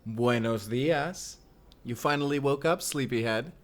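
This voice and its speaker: A man's voice: mid-range voice, a little slow, slight background noise, close to an everyday voice. A man playing a young Spanish vampire slayer, a teasing and tender protector speaking intimately in an audio roleplay. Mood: playful, reassuring. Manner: speaks closely to one listener, teasing and soothing.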